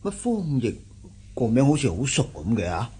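An actor playing a worried middle-aged investor in a radio drama, sighing and hesitant, with a Hong Kong Cantonese accent. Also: male voice, mid-range voice, sing-song, normal speed, dark tone, slightly rough. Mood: fretful.

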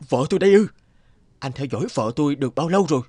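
Smug, blustering cartoon boss. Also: male voice, a little high, lively intonation, very fast, mellow, dry recording, faint music behind. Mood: suspicious, indignant. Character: smug, suspicious middle-aged philandering company boss. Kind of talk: cartoon dialogue.

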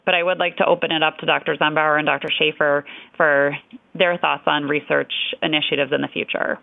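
A woman's voice: deep voice, little pitch movement, brisk, creaky, dry recording. A woman physician answering interview questions in a fluent, earnest, explanatory way. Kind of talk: medical podcast interview. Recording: dry tinny phone-like audio.

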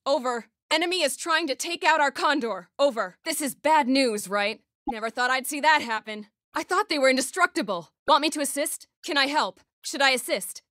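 A woman's voice: extremely high pitch, bright, forceful tone, no room sound, studio quality, exaggerated voice. A woman playing a plucky, cheeky young military scout driver in a video game, giving clipped radio-style calls with wry quips. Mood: worried, wry. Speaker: game voice actor.

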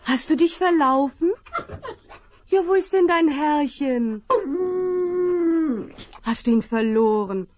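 A young actress playing a frightened but kind rancher's daughter in a Western radio play, pleading and anxious. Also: female voice, high-pitched, slow, long pauses, darkish tone. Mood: tender. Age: young adult. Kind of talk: 1970s German radio play acting.

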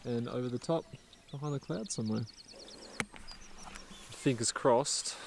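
A man's voice: medium pitch, a little slow, creaky, background noise. Chatty young man vlogging outdoors. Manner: relaxed, enthusiastic, chatty with fillers. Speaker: travel vlogger. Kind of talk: casual travel vlog to camera. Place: outdoors on windy cliffs and inside a car. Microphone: camera-mounted mic, held at arm's length.

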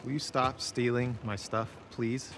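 An actor playing an earnest, wry young male survivor in a naturalistic game cutscene, with casual, halting talk. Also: mid-range voice, level tone, background noise, close to an everyday voice.

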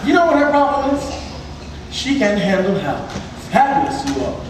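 A man's voice: very high-pitched, very animated, normal speed, background noise. A teenage male student actor playing a sassy, wise fairy godfather in a stage musical, with a theatrical way of talking. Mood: reassuring. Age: teens, 17. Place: large indoor theatre stage, distant camera. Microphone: far camera. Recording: echoey theatre stage recorded from far off.

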